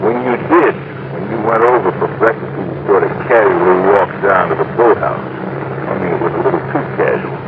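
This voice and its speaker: A man's voice: low-pitched, fairly quick, lightly acted. An actor playing a friendly, worrying lakeside resort man in a 1950s radio drama, speaking in a folksy, earnest, confiding way. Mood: worried, confiding. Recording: tinny, noisy old radio recording.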